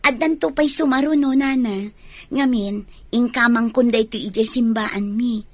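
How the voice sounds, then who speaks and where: A woman's voice: fairly high pitch, a little slow, no echo. A woman with an Ilocano accent playing a kind, helpful, devout young village neighbor in a radio drama. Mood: kind, reassuring.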